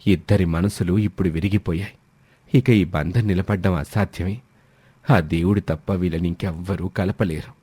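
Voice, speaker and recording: A man's voice: low voice, steady tone, normal speed, warm tone, breathy edge, no echo, pitched below the performer's own voice. A man voicing a helpless, sorrowful older father in a family story, grave and resigned. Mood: despairing.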